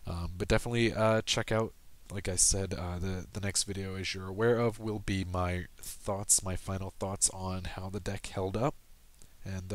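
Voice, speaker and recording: Low, flat-voiced man explaining a card game. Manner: steady explanatory, frequent ums and self-corrections. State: losing his voice. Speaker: gameplay commentator. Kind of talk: casual game commentary over screen recording.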